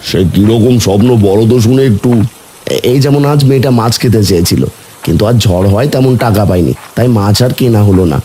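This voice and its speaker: A man playing a kindly, humble village man in an animated cartoon story. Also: fairly low pitch, fast talker, a little hiss or hum, close to an everyday voice. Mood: earnest, sympathetic. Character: kindly humble villagers: poor boatman and lonely widower. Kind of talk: animated story character dialogue.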